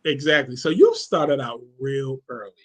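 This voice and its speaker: A man's voice: medium pitch, moderate pace. A man from New York hosting a radio show, chatting with a guest in a hyped, enthusiastic way. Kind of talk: casual interview chat.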